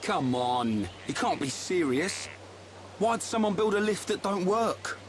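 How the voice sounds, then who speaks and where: An actor playing a blunt, grumbling young fighter, the hero's sidekick, in a video game. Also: male voice, high-pitched, animated, measured pace, background noise. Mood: exasperated. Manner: blunt, colloquial, complaining. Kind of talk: video game cutscene acting.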